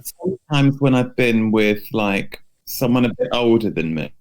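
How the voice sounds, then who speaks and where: A man's voice: mid-range voice, lively intonation, moderate pace, a little mellow, clear, resonant tone, poor audio. A man co-hosting a podcast in casual conversation, with an inquisitive way of talking. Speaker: podcast co-host. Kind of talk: casual chat. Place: remote podcast recording.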